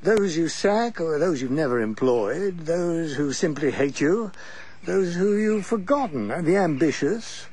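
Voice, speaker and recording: A man's voice: fairly high pitch, lively intonation, a little slow, a little mellow, husky. An actor playing a blunt, weary older English husband and father in a radio drama, with a wry, old-fashioned turn of phrase. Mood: wry, resigned. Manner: wry, swearing, old-fashioned upper-middle-class turns of phrase.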